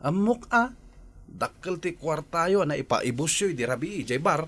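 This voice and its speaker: An actor with a Filipino accent playing an earnest, worried nephew in a radio drama, pleading respectfully. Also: male voice, a little high, lively intonation, a little slow, a bit bright. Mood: reproachful, concerned. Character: earnest, worried married nephew, gently reproachful.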